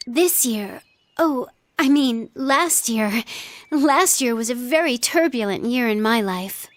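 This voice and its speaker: A woman's voice: on the high side, lively intonation, takes it slowly. A woman voicing an earnest, considerate and reserved teenage girl in an anime video game, polite and thoughtful. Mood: sincere, hopeful.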